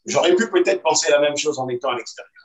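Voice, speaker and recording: Man on a distant echoey video call. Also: a little high. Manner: measured, hesitant with many fillers, insistent. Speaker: party activist guest. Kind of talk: political debate on video call. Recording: roomy echo, distant from mic.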